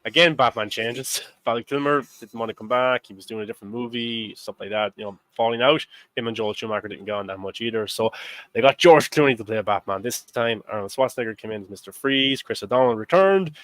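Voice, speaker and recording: A man's voice: mid-range voice, brisk, fairly bright tone, no room sound. Young guy hosting a movie podcast, rambly with lots of ums, dry close sound. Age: 20s. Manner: rambling, filler-heavy, laughs often. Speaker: host. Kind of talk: casual movie discussion.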